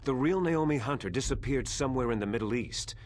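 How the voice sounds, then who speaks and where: A man's voice: mid-range voice, animated, a little mellow, a little hiss or hum, lightly acted. Stern, authoritative military mentor played by a man. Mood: secretive, grave. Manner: deliberate, authoritative. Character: stern older male military mentor, wary and accusing. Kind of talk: dramatic video game voice acting.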